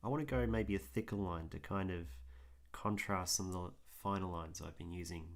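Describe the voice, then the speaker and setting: A man talks through his drawing on a live stream in a rambling, easygoing way, full of ums. His voice is hoarse and gravelly, and it sits fairly low. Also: a little fast. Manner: rambling, frequent ums, thinks aloud while working. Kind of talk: casual livestream commentary while drawing.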